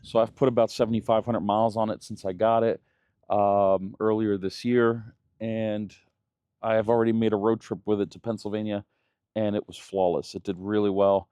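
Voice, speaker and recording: A man's voice: fairly low pitch, flat delivery, normal speed, mellow, smooth, no echo. A man in his thirties, raised in Germany, talking casually in a vlog with many fillers and restarts. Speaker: channel host.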